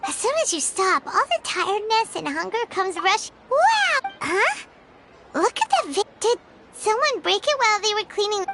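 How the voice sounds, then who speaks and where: A woman voicing a tiny, childlike, curious fairy companion in an anime-style video game, with a squeaky, chirpy voice. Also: sing-song, normal speed, over music, exaggerated voice. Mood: startled, curious.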